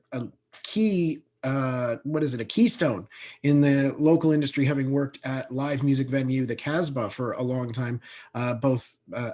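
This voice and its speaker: A man moderating an online panel over a video call, talking haltingly with lots of fillers. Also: medium pitch, darkish tone. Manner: halting, with many fillers and restarts.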